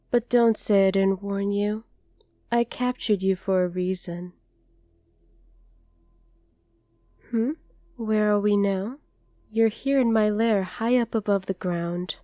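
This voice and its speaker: A woman voicing a haughty, possessive dragoness captor in an ASMR roleplay, speaking to the listener in a condescending, deliberate way. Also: mid-range voice, warm tone, no echo, clean studio sound, close to an everyday voice. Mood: condescending, coaxing.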